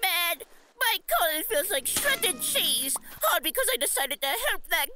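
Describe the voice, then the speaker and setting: A man playing a naive, indignant young gentleman hero in an anime parody, whiny and melodramatic. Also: very high-pitched, sing-song, measured pace, background noise, heavily acted. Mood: aggrieved. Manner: melodramatic, protesting.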